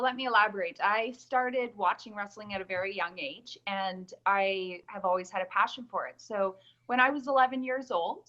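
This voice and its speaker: A woman's voice: medium pitch, sharp tone. A woman from Calgary with a mild Albertan Canadian accent, chatting earnestly as an interview guest with frequent ums. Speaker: guest, nurse and pageant winner. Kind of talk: casual interview answers. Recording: dull lo-fi call audio.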